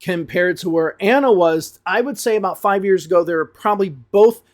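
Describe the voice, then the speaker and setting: An American man from the Chicago area, an English teacher giving a relaxed spoken review full of hedges. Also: high-pitched, moderate pace. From Chicago area, USA. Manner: relaxed, talks things through with frequent hedges.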